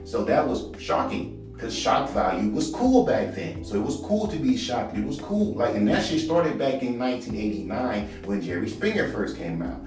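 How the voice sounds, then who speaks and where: Man, baritone, profane animated rant, podcast host, very echoey room, music playing behind. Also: normal speed, few pauses, recorded from a distance. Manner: animated, profane, rambling with restarts. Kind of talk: casual ranting monologue.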